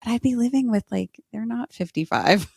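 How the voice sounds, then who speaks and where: A woman's voice: fairly high pitch, animated, normal speed, gravelly, darkish tone, breathy edge, no echo, studio quality. A middle-aged American woman chatting casually and candidly as a podcast host. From United States. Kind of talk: casual conversational podcast chat.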